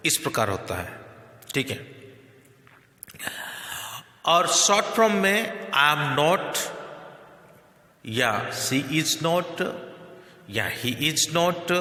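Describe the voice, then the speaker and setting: A man with an Indian accent teaching spoken English slowly and deliberately, with frequent fillers. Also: mid-range voice, bright, forceful tone. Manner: slow, deliberate explaining with many fillers. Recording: echoey room with long reverb.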